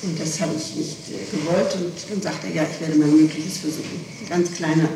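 A woman's voice: sits low, fairly even intonation, a little fast, vocal fry. A middle-aged woman answering questions in an interrogation, explaining insistently and repeating herself, on an old tape recording. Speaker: person being questioned by a prosecutor. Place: reverberant room, far from the microphone. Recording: echoey, distant, noisy archival tape recording.

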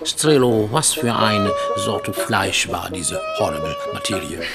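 An actor in a German radio play voicing a pompous, moneyed English gentleman with florid diction. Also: fairly low pitch, big pitch swings, unhurried, over loud music. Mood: haughty.

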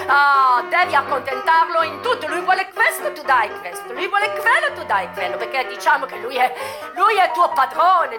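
A woman's voice: extremely high pitch, pitched above the performer's own voice, exaggerated voice. A woman playing a bossy middle-aged madam with a German accent, drilling out emphatic instructions in a radio monologue. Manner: emphatic, drilling instructions in clipped repeated phrases.

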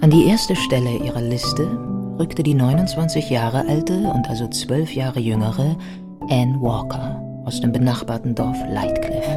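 Male radio drama narrator over music. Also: medium pitch. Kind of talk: scripted documentary narration.

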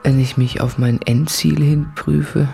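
An actor playing a tormented, introspective man who confesses in a halting, hushed, husky and creaky voice in a literary radio play. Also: on the high side, steady tone, music in the background. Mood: brooding, deliberate.

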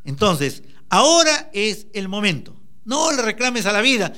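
A man's voice: high voice, very animated, a little slow, sharp tone. Emphatic motivational speaker, man. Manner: emphatic, exhorting the listener directly. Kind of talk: motivational talk.

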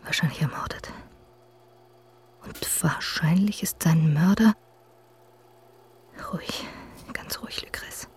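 An actress playing a bold young woman journalist in a radio thriller, often speaking in breathy, urgent tones. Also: low-pitched, slow pace, long pauses. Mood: frightened, urgent. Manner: breathy whispering to herself, urgent calling out. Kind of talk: German radio thriller acting.

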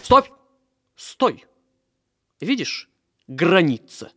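Mocking children's-play villain: highish voice, unhurried drawl, gloating and mock-sweet, music underneath. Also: male voice, big pitch swings, pushed and bright, breathy edge, heavily acted. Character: gloating, mocking male villain of a dream land.

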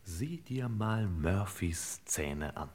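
An actor playing a hard-boiled, sardonic detective boss in an old radio crime play, commanding and sarcastically florid, in a reverberant space. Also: male voice, low-pitched, lively intonation, drawn-out words, background noise, exaggerated voice. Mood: grim, dry.

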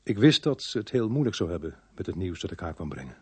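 An actor with a Netherlands Dutch accent playing a sober, dogged police inspector who narrates his own story in a measured, understated radio drama style. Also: deep voice, big pitch swings, background noise. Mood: reflective, grave. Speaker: actor, lead role and narrator of a radio play.

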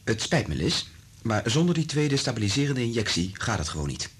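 An actor playing a concerned, clinical doctor in a radio drama, speaking in a soothing, restrained and earnest manner. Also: male voice, very animated, a little fast, breathy edge. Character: concerned, clinical male doctor secretly in love with colleague. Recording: noisy radio play recording with low rumble.

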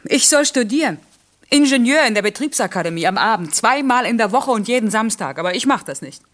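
A woman's voice: a little high, lively intonation, fairly quick, a bit bright. An actress playing a stubborn, guarded young working mother, terse and blunt, in a radio play. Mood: defiant. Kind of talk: German radio play acting.

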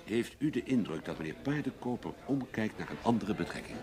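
Male executive, radio drama, lowish voice, varied intonation, blunt, probing, calculating. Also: sing-song, fairly quick, crowd noise. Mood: probing, cool. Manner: direct, probing, coolly polite. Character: blunt, calculating businessman negotiating over staff. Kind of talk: Dutch radio play acting.

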